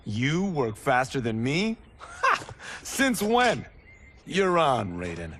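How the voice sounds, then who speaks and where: A man's voice: medium pitch, huge pitch swings, slow, heavy background noise. An actor playing a cocky, restless young male farmer-fighter who boasts and teases, in a video game cutscene. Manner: boastful, teasing. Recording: game soundtrack music behind.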